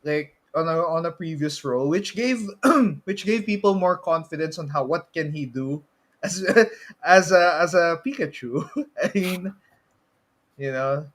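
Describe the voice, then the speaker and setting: A man's voice: fairly high pitch, expressive, unhurried. A man with a Filipino accent hosting a casual podcast, mixing Tagalog particles into his English. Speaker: podcast host.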